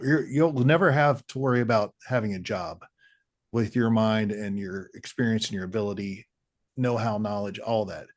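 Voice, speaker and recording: Interviewer guy, upbeat and encouraging, average pitch, casual talk. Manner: enthusiastic, encouraging. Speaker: interviewer host.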